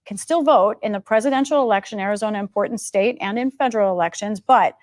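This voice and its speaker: A woman's voice: mid-range voice, fast talker. An American woman giving a live, explanatory news report as a political correspondent.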